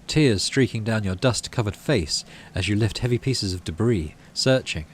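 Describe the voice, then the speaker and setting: A man's voice: lowish voice, expressive, moderate pace, slight background noise. A man hosting a gaming playthrough, reading story text aloud and adding casual commentary. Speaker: gaming channel host.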